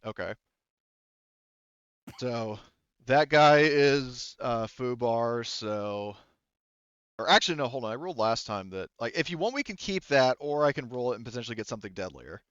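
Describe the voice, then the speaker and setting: A man's voice: mid-range voice, animated, moderate pace, a bit bright, smooth, no echo, highs cut off. A man acting as game master, casually thinking aloud as he narrates dice rolls and rulings.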